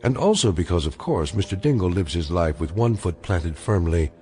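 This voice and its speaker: An actor as a portentous anthology narrator for a radio drama, measured and ironic. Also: male voice, low-pitched, expressive, mellow, faint music behind. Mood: wry, portentous.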